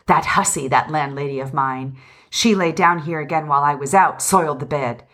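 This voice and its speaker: A woman's voice: deep voice, moderate pace, a bit bright. An agitated revolutionary husband from a storybook, acted out by a woman narrator. He is vehement and self-pitying, pleading his case with grave insistence. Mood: angry, defensive.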